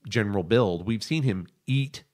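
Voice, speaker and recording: Guy podcast host, kinda low voice but super animated, emphatic and opinionated analysis. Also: normal speed.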